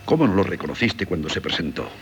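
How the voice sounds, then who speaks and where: Deep-voiced, troubled husband. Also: male voice, big pitch swings, quick pace. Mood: patient, reasoning. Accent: Castilian Spanish. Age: middle-aged. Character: troubled middle-aged husband, patient, reasoning, wounded. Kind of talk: 1970s Spanish radio drama acting. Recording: hissy 1970s radio recording.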